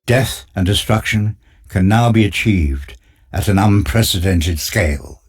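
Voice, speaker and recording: A man's voice: fairly low pitch, measured pace, breathy edge. A man giving solemn, dramatic scripted narration for a war game's opening. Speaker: game intro narrator. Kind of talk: scripted documentary-style narration.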